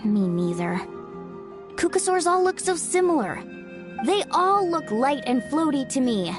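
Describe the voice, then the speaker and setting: A woman's voice: high-pitched, sing-song, measured pace, over music. A woman voicing an eager, kind-hearted, chatty young girl in a video game. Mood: friendly, helpful.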